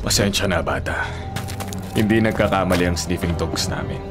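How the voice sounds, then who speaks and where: A man playing a firm, unhurried police officer in a radio drama. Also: sits low, natural voice. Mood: stern. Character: firm male police officer arresting a suspect. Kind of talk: Filipino radio drama acting. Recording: prominent music behind the voice.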